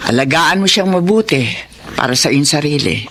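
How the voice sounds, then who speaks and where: Motherly older lady, dramatic, emphatic, lively pitch swings, radio drama, noisy track. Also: moderate pace. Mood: reassuring. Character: protective, dramatic older mother, soon a grandmother. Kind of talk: Filipino radio drama acting.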